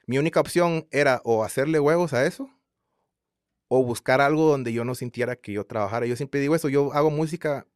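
A man in his thirties from Guatemala with a Guatemalan Spanish accent, chatting as an interview guest in a relaxed, rambling way full of fillers. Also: medium pitch, no echo, studio quality. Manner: relaxed rambling with many fillers and repeated tags. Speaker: guest singer. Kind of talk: casual interview chat.

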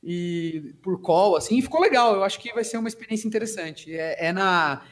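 A man from the São countryside, chatting as a podcast guest in a relaxed way, often restarting his words. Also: sits high, a little fast. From Socorro, countryside of São Paulo state, Brazil. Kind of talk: casual podcast chat.

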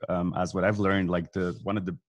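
A man's voice: deep voice, little pitch movement, fairly quick, dry recording. A man from Switzerland with a Swiss German accent, a native Swiss German speaker, chatting casually as a guest with many fillers and restarts. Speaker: guest, event announcer. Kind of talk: casual video call chat.

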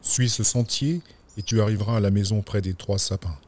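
A man's voice: steady tone, darkish tone, lightly acted. A man voicing a big, sly, boastful wolf in a children's fairy tale, with a smug, scheming drawl. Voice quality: deep voice in the story, slow deliberate delivery.

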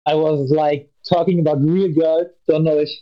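A man's voice: fairly high pitch, little pitch movement, moderate pace. A twenty-year-old man guesting on a podcast call, blunt and teasing. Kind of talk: casual chat. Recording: dull lo-fi mic.

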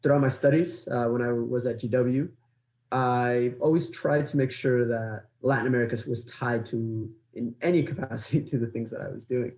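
A young man in his twenties co-hosts a podcast, speaking in an earnest, measured way. His voice is warm and dark in tone, and it is recorded in a noticeably echoey room. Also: mid-range voice, fairly even intonation. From Ecuador, raised in Los Angeles. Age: 20s. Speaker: podcast co-host. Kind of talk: scripted intro then casual chat.

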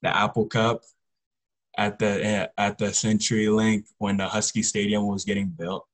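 A teenage male athlete answers interview questions casually. His voice is fairly low and very flat in melody, with little rise or fall as he talks. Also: moderate pace. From Congo, later the US Pacific Northwest. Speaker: high school football player, podcast guest.

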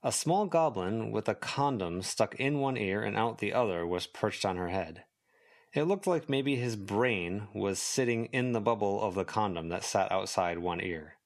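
Man narrating fiction with lively intonation. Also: fairly low pitch, normal speed. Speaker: volunteer audiobook reader.

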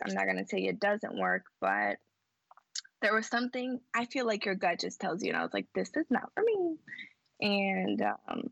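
An entrepreneur woman talks casually as a podcast guest. She is earnest and upbeat, with a strong gravelly fry. Her fillers come often, and the sound is dry and close. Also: medium pitch, dry recording. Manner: earnest, upbeat, heavy use of "like". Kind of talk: casual interview talk.

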